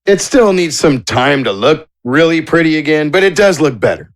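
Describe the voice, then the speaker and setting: A man's voice: on the high side, big pitch swings, normal speed. A man narrating a tabletop game as game master, improvising descriptions in an informal way.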